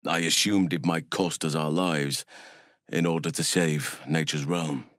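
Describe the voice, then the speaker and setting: A male actor playing a grave, earnest elf druid in a video game, speaking solemnly in measured, formal phrases. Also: rumbling low, fairly even intonation, a bit bright, no echo, studio quality. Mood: solemn, sorrowful. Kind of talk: scripted game voice lines.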